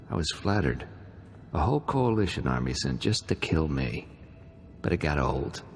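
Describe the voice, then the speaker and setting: An actor playing a smug, vain and menacing pirate captain in a video game, with a boastful, mocking manner. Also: male voice, very deep voice, gravelly, in a large hall, exaggerated voice. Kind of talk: video game cutscene acting.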